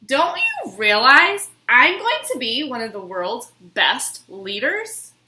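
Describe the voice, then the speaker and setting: An eager, proud little boy acted out by a woman from a storybook, excitable and emphatic. The voice is high and very lively, heard in a roomy, echoing space. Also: slow, exaggerated voice. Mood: indignant. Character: young George Washington, eager, proud, determined boy. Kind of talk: dramatic storybook character reading. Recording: roomy, echoing room sound.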